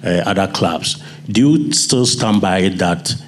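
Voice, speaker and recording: A man's voice: low-pitched, takes it slowly, a little hiss or hum. A male journalist from Ghana with a Ghanaian accent, asking questions at a press conference.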